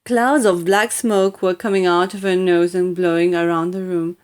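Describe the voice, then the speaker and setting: A woman reading a children's story aloud in a deliberate, dramatic and expressive narrating style. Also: lowish voice, clear, resonant tone. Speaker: audiobook reader.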